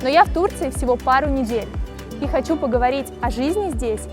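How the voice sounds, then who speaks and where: A woman with a high voice and a Russian accent hosts a travel vlog. She narrates fluently in her own language and puts short, simple questions in broken English. Music plays clearly behind her. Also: lively intonation, fast talker. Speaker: travel show host.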